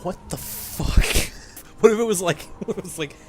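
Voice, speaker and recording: Higher-voiced man riffing over background noise. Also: moderate pace. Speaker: co-commentator playing a video game. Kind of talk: casual joking chat.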